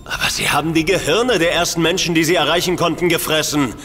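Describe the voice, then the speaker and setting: A man acting out a skeptical general who challenges everything gruffly. His voice sits a touch high. Clear hiss and long reverb colour the radio-play recording. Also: steady tone, fast, a bit bright, lightly acted.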